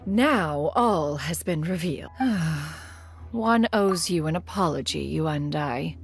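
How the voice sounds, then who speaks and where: A proud crane immortal master lady for a game, formal old-timey talk, bit of creak, faint music. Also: sits low, lively intonation, slow pace, heavily acted. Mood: solemn, apologetic. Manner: formal, archaic, stately speech. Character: proud, caring crane adeptus master, an elder mentor woman. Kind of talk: scripted video game voice acting.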